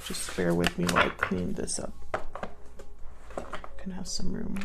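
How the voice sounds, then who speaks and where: Woman reading tarot, creaky voice. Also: on the low side, completely flat delivery, a little slow. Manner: murmurs card prompts to herself, repeating them. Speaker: tarot reader, channel host. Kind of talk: casual spoken tarot card reading. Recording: clear background noise with card shuffling clicks.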